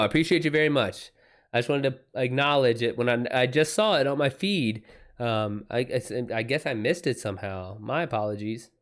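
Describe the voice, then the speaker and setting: Man, livestream host, mid-pitched voice, informal slangy chat, casual swearing.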